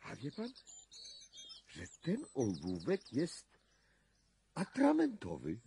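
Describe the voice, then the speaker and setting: Wry, assured male investigator for radio drama: deliberate, slow, melodically varied delivery. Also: medium pitch, wildly swooping pitch, warm tone, slight background noise, exaggerated voice. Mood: musing. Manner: theatrical, deliberate phrasing with dramatic pauses. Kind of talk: 1990s Polish radio drama acting.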